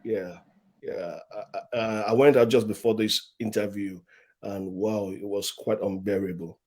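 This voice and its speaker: A man's voice: on the low side, steady tone, measured pace, a little mellow, breathy edge. A man hosting an online live-stream interview, repeating words and reading out audience comments. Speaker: host.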